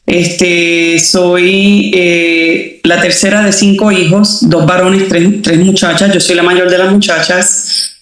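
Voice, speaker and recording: Relaxed Puerto Rican woman, echoey room. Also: lowish voice, takes it slowly. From Puerto Rico. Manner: relaxed, many fillers and restarts. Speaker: interview guest, doctor. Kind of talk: casual faith testimony.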